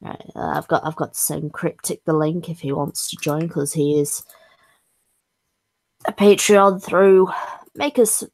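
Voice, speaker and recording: Hoarse low-voiced woman, slow and croaky. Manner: halting, whispery asides, laughs often. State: hoarse, has lost her voice. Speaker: streamer hosting a supporter stream. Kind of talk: casual chat.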